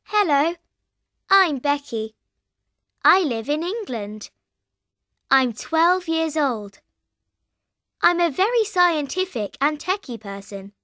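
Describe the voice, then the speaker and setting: A techie twelve-year-old girl introduces herself in a classroom recording. Her voice is high and bright, and she speaks at a slow, careful pace. The studio sound is close and dry. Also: big pitch swings, no echo, heavily acted. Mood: friendly. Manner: slow, clear, careful delivery. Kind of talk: scripted coursebook audio.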